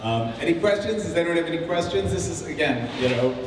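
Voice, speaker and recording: Man, event host, loose and joking, slightly high pitch, far from the mic in a reverberant hall. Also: animated, fast talker. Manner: loose, filler-heavy, joking with the audience. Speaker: event host introducing speakers. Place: event room with audience. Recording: echoey hall, distant mic, room noise.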